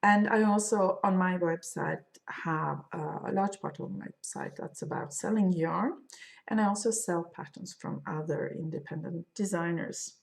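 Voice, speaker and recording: A woman in her fifties with a Swedish accent, a crochet designer chatting casually in her video podcast from a home studio, thoughtful with many pauses. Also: lowish voice, creaky. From Sweden. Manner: slow, thoughtful, with frequent pauses and fillers. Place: home studio room, indoors. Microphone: fixed camera at desk.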